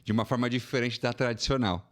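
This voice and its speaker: A man in his forties with a São Paulo accent, a podcast guest telling his career story in a colloquial, animated way. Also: fast talker. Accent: Brazilian Portuguese, São Paulo. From São Paulo, Brazil. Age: 40s. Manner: animated, colloquial, rising pitch when indignant. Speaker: guest, financial consulting entrepreneur. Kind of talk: casual interview storytelling.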